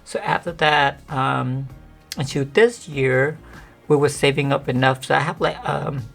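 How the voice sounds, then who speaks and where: Monotone deep-voiced woman vlogging, gravelly. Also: measured pace, soft background music. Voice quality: monotone, deep, sometimes cracking voice. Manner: rambling, filler-heavy, many "like"s. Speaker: beauty vlogger. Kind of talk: casual talk to camera.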